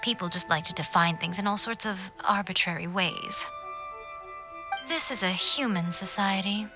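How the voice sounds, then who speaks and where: An actress playing a sly, playful shrine priestess who speaks in a coy, unhurried, teasing way, in a video game cutscene, with music behind her. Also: medium pitch, close to an everyday voice.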